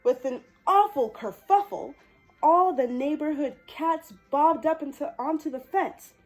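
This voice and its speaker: A woman's voice: sits high, unhurried, darkish tone, faint music behind, rough recording. A woman hosting a children's storytime, reading a picture book expressively with playful, exclamatory asides. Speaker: storytime host.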